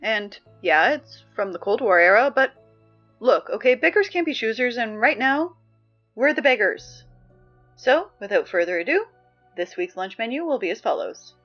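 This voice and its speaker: A woman acting as an exasperated, wry high school student reading morning announcements in an apocalypse audio drama, halting and conversational. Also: big pitch swings, highs cut off. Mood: wry, chatty. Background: music.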